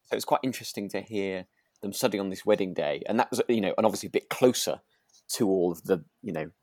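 A man's voice: deep voice, quick pace, a little mellow, no room sound, clean studio sound. A man with a British accent co-hosting a podcast in casual chat, thinking aloud in a rambling, hesitant way. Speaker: podcast co-host.

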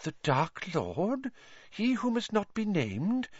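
Guy doing a fussy dreamy lady teacher, soft whispery voice, high and airy, dull dry sound. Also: huge pitch swings, slow pace, husky, no room sound, full character voice. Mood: dreamy, dismissive.

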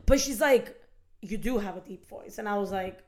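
A young woman hosting a podcast, telling stories in a loud, animated way with frequent bursts of laughter. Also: mid-range voice, normal speed. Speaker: podcast host. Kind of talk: casual chat, storytelling.

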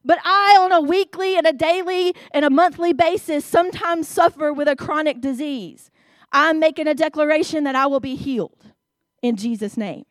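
A woman with a Southern US accent preaching a sermon, stressing her words slowly and with emphasis. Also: high-pitched, no echo.

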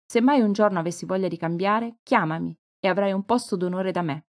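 A woman's voice: mid-range voice, brisk, natural voice. A woman voicing a confident, theatrical pastry chef offering praise and a job, in a read-aloud story. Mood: gracious.

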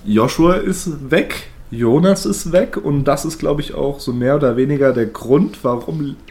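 A man's voice: a little high, unhurried, darkish tone. A man with a cold who hosts the podcast, chatting casually with many fillers and bursts of laughter. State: has a cold, slightly congested. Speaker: podcast host. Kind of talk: casual chat. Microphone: own home microphone setup.

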